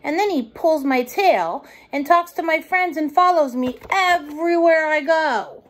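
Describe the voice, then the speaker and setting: A woman's voice: high voice, unhurried, pitched above the performer's own voice. A woman voicing a pouting little boy raccoon in a children's storybook, pleading and whiny. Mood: grumbling.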